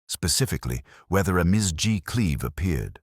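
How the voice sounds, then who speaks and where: A man plays a courteous, thoughtful police inspector from a detective audiobook. The voice is very deep and husky, with calm, deliberate phrasing that lends each remark some weight. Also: no echo, clean studio sound. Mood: calm, musing.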